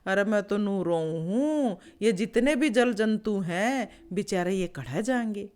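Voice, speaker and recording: A woman's voice: medium pitch, animated, a little slow, darkish tone, close to an everyday voice. A woman voicing a sly old male heron who acts pious and self-pitying in a folk tale, with a Haryanvi accent. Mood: falsely caring.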